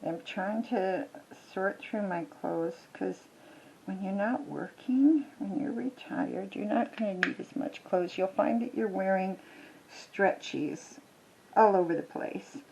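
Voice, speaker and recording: An older woman, retired, chatting casually with lots of ums while she works on a craft at home, her voice a little croaky. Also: medium pitch, slow pace, darkish tone, a little hiss or hum, rough recording. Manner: rambling, chatty, many ums and restarts. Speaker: crafting vlogger. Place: small home.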